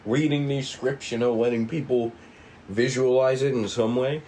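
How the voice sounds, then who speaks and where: A man getting over a cold, co-hosting and explaining in a casual, rambling, halting way. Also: mid-range voice, fairly even intonation, warm tone, slight background noise, low-quality recording. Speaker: co-writer and co-host introducing a script table read.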